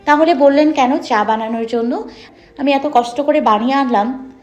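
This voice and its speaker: Woman acting high-voiced, teasing young wife. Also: moderate pace, a little mellow, dull audio, faint music behind, lightly acted. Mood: pouting. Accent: Bangladeshi. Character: young wife, playful, gently pouting and teasing. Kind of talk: romantic audio story acting.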